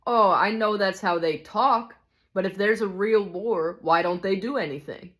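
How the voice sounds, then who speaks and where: A man's voice: high voice, a little slow, fairly bright tone. A woman reading the part of a cynical, hard-bitten male ghost in a storybook, grumbling scornfully and insistently. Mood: bitter, scornful. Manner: scornful, insistent complaining with rhetorical questions.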